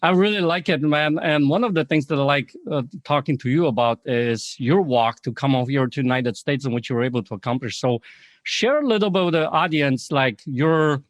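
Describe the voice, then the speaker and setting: A man's voice: fairly high pitch, fairly quick, no echo. A man hosting a podcast, talking in an enthusiastic, informal way with fillers and repeated phrases. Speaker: podcast host. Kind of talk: podcast interview.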